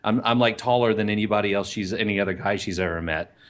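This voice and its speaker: A man with a fairly deep voice co-hosting a podcast in casual chat, rambling with restarts and easy laughter. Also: fast talker, fairly bright tone. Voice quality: deeper voice. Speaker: podcast co-host.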